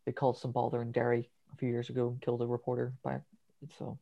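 A man's voice: mid-range voice, completely flat delivery, quick pace, vocal fry, mellow, highs cut off. A man with a Northern Irish accent co-hosting a podcast, chatting casually about a film with lots of ums and the odd swear. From Northern Ireland. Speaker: podcast co-host.

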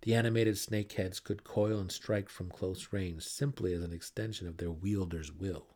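A man reading a fantasy audiobook as a dramatic narrator, with theatrical, deliberate emphasis. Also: deep voice, steady tone, a little mellow.

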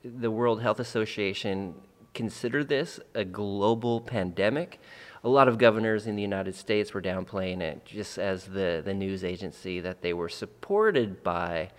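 A man's voice: on the low side, measured pace, long reverb tail. A man hosting a podcast, talking reflectively and repeating phrases for emphasis, then interviewing a guest. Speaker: podcast host. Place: Central Oregon.

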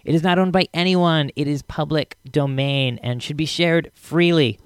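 A man hosting a podcast, introducing the episode in a casual, chatty way with drawn-out emphasis. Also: on the high side, fairly even intonation, clear, resonant tone, dry recording, studio quality. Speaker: podcast host.

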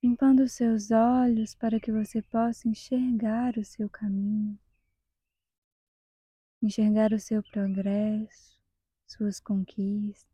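A Brazilian woman leading a guided meditation in a soft, breathy, half-whispered voice, calm and soothing, with long measured pauses. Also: mid-range voice, no echo.

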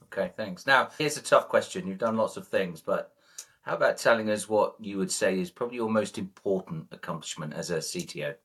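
A man hosting a podcast, asking polite, measured interview questions. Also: low voice, a bit bright, breathy edge. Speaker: podcast host.

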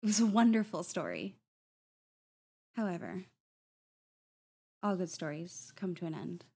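A woman's voice: fairly low pitch, very animated, unhurried, vocal fry, breathy edge, exaggerated voice. A woman playing an uneasy adult daughter who narrates a family mystery in a radio play, confiding and ominous. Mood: ominous, reflective. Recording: loud music under the voice.

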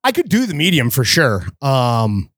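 A man co-hosting a podcast in a laid-back, slangy way, laughing often. His pitch sits in the middle of the usual range. The recording is close and dry. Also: huge pitch swings, normal speed, a bit bright, no echo. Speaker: podcast co-host. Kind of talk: casual podcast banter.

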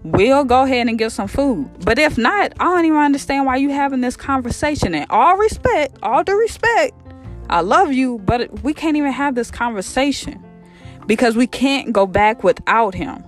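Woman acting out grown son, nervous, rambling, insistent, pleading, raised pitch, Bible story, faint music. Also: expressive, normal speed, hardly any pauses, close to an everyday voice. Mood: frank, insistent. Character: anxious rambling grown sons pleading with their old father.

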